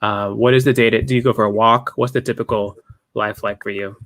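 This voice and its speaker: A man's voice: on the low side, steady tone, a little fast, fairly bright tone. Upbeat male interview host. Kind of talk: casual interview over video call. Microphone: video call.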